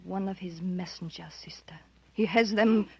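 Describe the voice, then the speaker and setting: A woman's voice: on the low side, normal speed, dark tone, slightly rough, no room sound. An actress playing an anxious, refined married woman in fear of a villain, in earnest, formal 1930s radio drama style. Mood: hushed dread. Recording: dull, muffled vintage radio recording.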